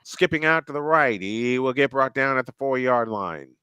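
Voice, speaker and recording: A man calling a football game play by play, getting excitable and jumping up on big plays, and trading banter with co-hosts. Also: mid-range voice, moderate pace, clear, resonant tone, no echo. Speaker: play-by-play commentator. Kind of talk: live game commentary with banter.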